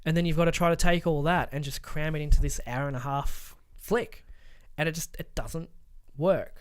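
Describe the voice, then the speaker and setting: Male podcast co-host, slightly high voice, emphatic, swears freely, casual and opinionated. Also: steady tone, normal speed, smooth.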